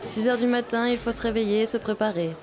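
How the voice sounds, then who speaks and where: A young woman from Vietnam, a native Vietnamese speaker, calmly narrating a personal video diary as a visiting film student. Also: fairly high pitch, fast talker, crowd noise. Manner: calm, reflective, first-person storytelling.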